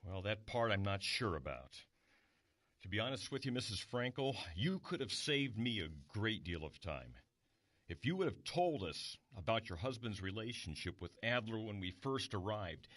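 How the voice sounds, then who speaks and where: A man's voice: on the low side, big pitch swings, moderate pace, fairly bright tone, dry recording. A man playing a formal, insistent police detective in an audio drama, procedural and pointed. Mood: pointed, grave. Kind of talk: modern audio drama acting.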